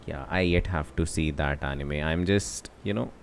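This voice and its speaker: Deep-voiced young Indian man streaming a game. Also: moderate pace, gravelly, a little hiss or hum. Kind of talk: casual livestream chat and game commentary. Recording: voice over game music.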